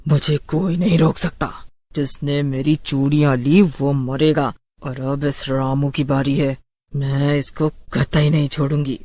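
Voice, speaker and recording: A woman voicing a vengeful female ghost witch who sells bangles, slow and menacing, in a horror storybook narration. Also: low-pitched, fairly even intonation, dark tone, dry recording. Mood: furious threat.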